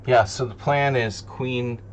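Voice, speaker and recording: A man streaming chess, thinking aloud in casual, deliberating commentary, muttering to himself between pauses. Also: medium pitch, steady tone. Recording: dull lo-fi sound, loud hum.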